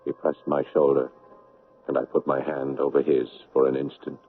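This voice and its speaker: Anguished son, low voice, halting confession, bitter grief, muffled noisy old radio recording. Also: male voice, little pitch movement. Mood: somber confession. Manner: halting, anguished, repeating himself. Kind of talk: 1970s radio drama acting.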